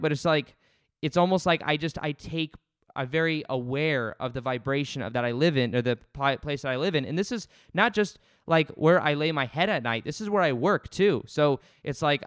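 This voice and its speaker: A man in his late twenties with a loud voice, hosting a casual spiritual self-help podcast and talking in an earnest, rambling way with many restarts. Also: medium pitch, clean tone, no room sound, studio quality. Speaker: podcast host. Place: home studio.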